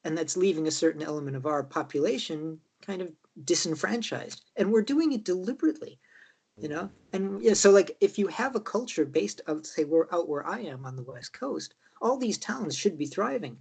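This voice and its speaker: Husky Irish man, rambling talk. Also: high voice, animated, brisk, a little mellow. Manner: rambling, with many "like" and "right?" tags. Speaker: political party member. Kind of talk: casual political discussion.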